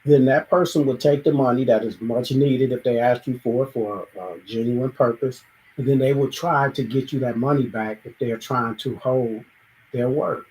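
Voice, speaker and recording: A man's voice: mid-range voice, normal speed, darkish tone, low-quality recording. A man hosting a reflective solo monologue, thinking aloud and acting out little everyday dialogues. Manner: thoughtful, acts out everyday dialogues, sometimes restarts. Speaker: show host.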